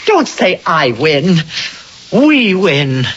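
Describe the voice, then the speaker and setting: An actress playing an anxious, insistent wife haunted by foreboding, in an earnest, emphatic 1980 radio drama style. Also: female voice, on the low side, very animated, very slow, very noisy, heavily acted. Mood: earnest pleading. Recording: dull lo-fi old radio recording.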